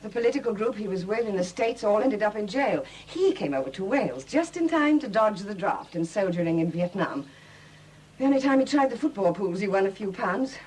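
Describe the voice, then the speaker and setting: An actress playing a proud, bitter, self-reliant young widow with a husky voice and a brittle, wry manner in a 1970s radio drama. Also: female voice, mid-range voice, lively intonation, fairly quick, darkish tone, slight background noise, close to an everyday voice, rough recording.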